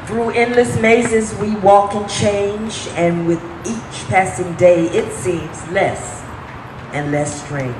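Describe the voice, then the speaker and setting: A woman performing a dramatic poem as a weary prisoner lamenting isolation, in a measured theatrical way. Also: mid-range voice, steady tone, noisy background, close to an everyday voice. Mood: bleak, weary. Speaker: stage actor in a dramatic reading. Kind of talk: dramatic poetry reading. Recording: echoey room with music behind.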